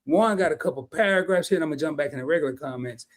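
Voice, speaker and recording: A man co-hosting a casual live stream, reading out viewer comments and telling lively stories with quick asides. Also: on the high side. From family from Arkansas and Chicago, lived in California. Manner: animated storyteller who drops into quick asides and reads comments in voices. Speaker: co-host of a live discussion stream.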